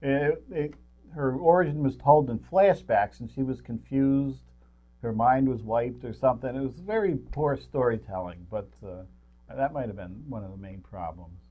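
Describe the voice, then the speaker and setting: A man's voice: medium pitch, moderate pace. Middle-aged man, dull lo-fi recording. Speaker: co-host of a movie review show. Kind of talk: casual chat about films.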